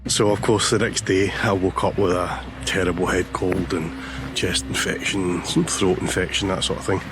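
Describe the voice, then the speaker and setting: A hoarse man, chatting casually as he vlogs outdoors about landscape photography, with many pauses and fillers. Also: low voice, steady tone, background noise. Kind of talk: casual vlog narration. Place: outdoors on a lakeshore, walking. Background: background music.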